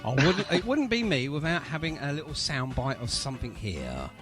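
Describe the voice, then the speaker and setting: Animated male radio host, playful banter. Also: on the high side, fairly quick, loud music behind the voice. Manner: jokey, teasing banter. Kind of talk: casual music interview chat.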